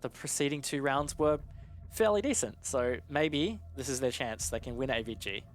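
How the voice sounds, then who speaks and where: A man's voice: medium pitch, lively intonation, normal speed, soft background music. A man working as an esports commentator, giving live analysis in a conversational, explanatory way.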